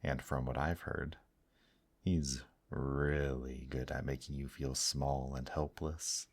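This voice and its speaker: Man playing a very deep, slow, suave demon host. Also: flat delivery, heavily acted. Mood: smooth, suggestive. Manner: slow, courtly, teasing, with pet names like dear. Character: suave male demon host of a monster brothel, gently teasing. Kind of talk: intimate ASMR roleplay, speaking to the listener.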